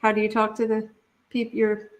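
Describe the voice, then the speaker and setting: A woman's voice: medium pitch, monotone, normal speed. A woman hosting a casual live interview. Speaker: host.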